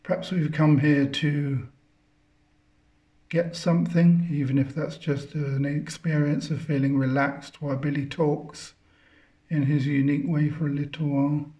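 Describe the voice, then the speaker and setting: A man leading a guided meditation, speaking contemplatively in halting phrases with long pauses between them. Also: on the high side, flat delivery, dark tone. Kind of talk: guided meditation, spiritual talk.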